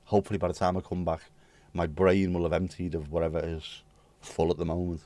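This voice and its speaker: A hoarse man with a British accent, chatting casually with viewers on his livestream and swearing bluntly. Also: low voice, little pitch movement, a little fast, dark tone, no room sound. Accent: British English. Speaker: livestream host. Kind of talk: casual chat reading viewer comments.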